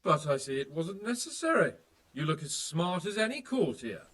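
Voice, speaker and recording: A man's voice: fairly high pitch, big pitch swings, normal speed, a bit bright. An actor playing a carefree young nobleman in a video game, who jokes wryly about a scolding he expects. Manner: wry, joking and self-mocking. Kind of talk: video game cutscene acting.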